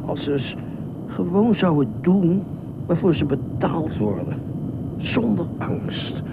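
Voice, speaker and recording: Pompous, excitable beach watchman, tinny noisy recording. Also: on the high side, slow pace, vocal fry, heavily acted. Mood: indignant. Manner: pompous, indignant, rambling. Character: eccentric, self-appointed middle-aged beach watchman, officious and excitable. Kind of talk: radio play acting. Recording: noisy, tinny phone-like radio drama recording.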